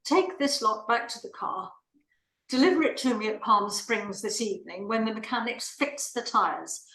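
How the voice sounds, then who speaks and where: A woman's voice: a little high, animated, normal speed, bright, forceful tone. A woman playing an imperious, irritable wealthy lady in a play reading, bossy and haughty as she gives orders and complains. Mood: imperious, fed up. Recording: roomy, echoing room.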